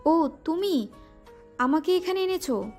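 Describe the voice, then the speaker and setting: A woman's voice: very high voice, expressive, slow pace, darkish tone, faint music behind, exaggerated voice. A woman playing a shy young female student who is guarded and teasing toward her admirer in an audio drama. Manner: bashful, doubtful, sometimes scolding.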